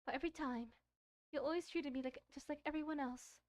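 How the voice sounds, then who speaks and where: A woman's voice: high-pitched, steady tone, brisk, dry recording, lightly acted. A woman voicing a shy, bookish, self-doubting schoolgirl from a visual novel, hesitant and earnest.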